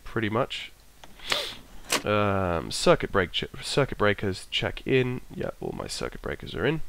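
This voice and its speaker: A man with a bit of a cold, his voice croaky, casually talking himself through an aircraft checklist and trailing off as he goes. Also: fairly low pitch, huge pitch swings, a little slow, a bit bright, a little hiss or hum. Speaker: flight sim streamer. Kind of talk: casual narrated walkthrough.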